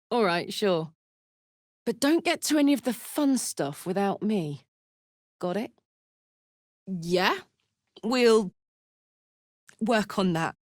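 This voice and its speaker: Young female game warrior, swaggering, big-hearted, earthy, unhurried delivery, very animated intonation. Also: medium pitch, no echo, studio quality. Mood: upbeat, loyal. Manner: blunt, swaggering, earthy, emotionally open. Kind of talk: video game character voice lines.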